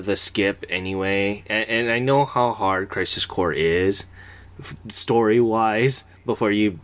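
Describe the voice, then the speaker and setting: A man co-hosting a podcast in casual chat, talking eagerly with frequent stammered restarts. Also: on the low side. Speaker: podcast co-host.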